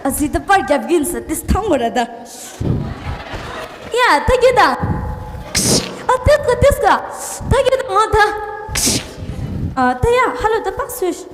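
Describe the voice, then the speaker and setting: Fussy, welcoming Tibetan mother played by a young woman, very high swooping voice, Tibetan accent, echoey stage hall. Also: normal speed, long pauses, a little hiss or hum. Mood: fussing. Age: young adult. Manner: exclamatory, animated, repeats herself. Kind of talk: broad school stage comedy acting.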